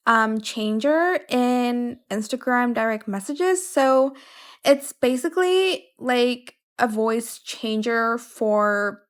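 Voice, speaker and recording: A woman with a fairly high voice hosts a casual screen tutorial. She talks informally and somewhat hesitantly, often correcting herself as she explains each step. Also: slow pace, clean tone. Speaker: tutorial host. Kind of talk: casual how-to tutorial narration.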